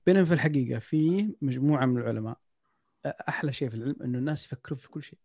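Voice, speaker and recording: A man's voice: mid-range voice, very fast, darkish tone, dry recording. A man hosting a casual podcast chat, reflective, slipping English phrases into his talk. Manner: reflective, mixes in English phrases, many restarts.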